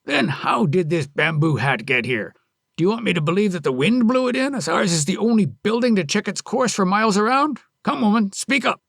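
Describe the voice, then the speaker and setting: Brutal male highway robber, shouting and bullying, read by a storyteller, raspy edge. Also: huge pitch swings, a little slow, no room sound, studio quality, full character voice. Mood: angry bullying.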